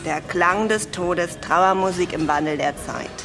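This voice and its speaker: A woman's voice: fairly low pitch, unhurried, music in the background. An actress playing an effusive, catty woman academic who gossips with falsely casual exclamations in a radio play. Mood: gossipy. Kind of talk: naturalistic German radio play dialogue. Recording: crowd murmur of a congress hall.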